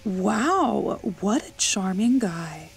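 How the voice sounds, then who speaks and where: A woman's voice: mid-range voice, very animated, very slow, vocal fry, slightly rough, full character voice. A woman playing a blunt, pushy, suspicious young woman with a belief in witchcraft, in an audio drama. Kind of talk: audio drama dialogue, naturalistic.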